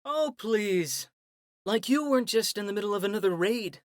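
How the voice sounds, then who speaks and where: A woman's voice: mid-range voice, lively intonation, normal speed, dry recording, clean studio sound, natural voice. A woman playing a teasing, friendly young male reporter in an audio drama, bantering casually.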